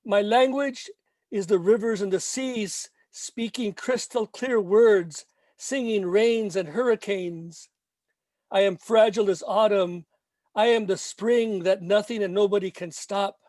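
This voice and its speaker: A man reading his own protest poem in a slow, emphatic, chanting way, after brief spoken remarks with some hesitation. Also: high voice, a little mellow, no echo. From Mexico. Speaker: poet. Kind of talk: poetry reading.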